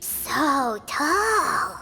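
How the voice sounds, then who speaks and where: A woman voicing a tiny, blunt, excitable fairy companion in an anime game, with a squeaky childlike voice. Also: very slow, gravelly, exaggerated voice. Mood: amazed. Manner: exclamatory, refers to herself in third person. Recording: music behind the voice.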